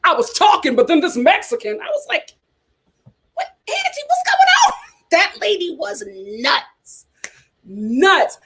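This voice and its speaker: Sassy high-voiced woman host. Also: a little slow. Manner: sassy, animated, talks straight to viewers. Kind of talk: casual reality TV commentary.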